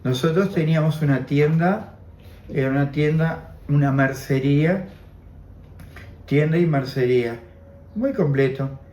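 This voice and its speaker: A man's voice: fairly high pitch, unhurried, pauses often, warm tone, echoey room. A man in his seventies with an Argentine Spanish accent, Armenian as his first language, giving an oral history testimony, reflective and repeating his phrases. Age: 70s. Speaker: interviewee.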